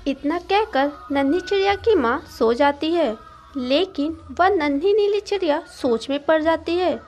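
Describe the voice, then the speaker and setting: A woman's voice: high-pitched, animated, unhurried, a little mellow, soft background music. A woman narrating a scripted moral story for children as a storyteller.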